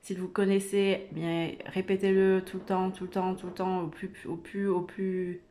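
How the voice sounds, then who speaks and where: A woman's voice: medium pitch, flat delivery, moderate pace, warm tone, a little hiss or hum. A woman giving an informal religious talk, earnest and hesitant, with many fillers and restarts. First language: not Arabic. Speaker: religious video creator. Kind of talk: informal spoken religious exhortation.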